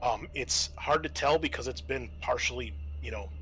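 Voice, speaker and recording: Guy game mastering, bright voice, kinda halting chatty narration, some noise behind. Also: medium pitch, low-quality recording. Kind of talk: improvised tabletop game narration.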